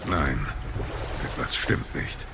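An actor playing a stern, huge warrior god and father in an audio drama, speaking in terse, gruff commands. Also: takes it slowly, heavy background noise, natural voice. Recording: loud music behind the voice.

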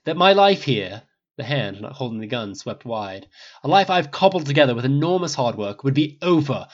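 A man's voice: medium pitch, lo-fi sound. A man voicing a bitter, menacing older wizard with cutting, formal, sarcastic diction in a dramatic story reading.

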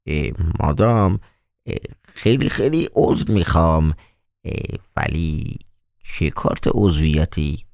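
A man's voice: mid-range voice, very animated, no echo, studio quality, voice pushed up. A man voicing a theatrical, mock-polite male trickster in an audiobook, drawling "ah" before his phrases. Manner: drawn-out, hesitant "ah" before phrases. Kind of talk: audiobook character voice.

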